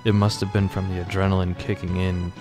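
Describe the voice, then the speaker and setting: A man narrates a first-person audio drama in a very deep, flat, gravelly voice. He tells it in a measured, matter-of-fact way while guitar music plays clearly behind him. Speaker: narrator and writer.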